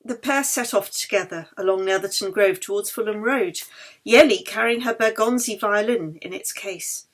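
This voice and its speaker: Woman narrating a novel, medium pitch. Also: moderate pace. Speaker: author reading own novel. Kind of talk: expressive scripted audiobook narration.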